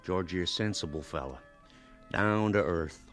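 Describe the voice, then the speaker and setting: An actor playing a practical, kindly rural settler who talks in a plainspoken, encouraging way in a radio drama. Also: male voice, deep voice, normal speed, fairly bright tone, faint music behind. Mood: earnest, supportive.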